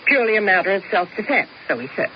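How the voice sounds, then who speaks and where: A woman's voice: medium pitch, sing-song, fast, close to an everyday voice. An actress playing a coolly scheming, refined woman in a 1970s radio mystery, with arch, precise, theatrical phrasing. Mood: arch, composed. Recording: muffled, hissy old radio recording.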